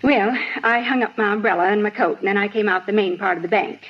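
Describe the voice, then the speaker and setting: A woman's voice: mid-range voice, fast, breathy edge, natural voice. A woman playing a fussy, chatty older bank clerk who rambles indignantly, in a 1950s radio drama. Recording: muffled 1950s radio recording, faint hiss.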